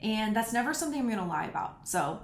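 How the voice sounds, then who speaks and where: A woman's voice: on the high side, very animated, fast talker. A woman in her thirties hosting a podcast, talking frankly and casually as she answers listener questions. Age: 30s. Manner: frank and candid, speaks bluntly about personal topics. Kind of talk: casual solo podcast talk. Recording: roomy echo.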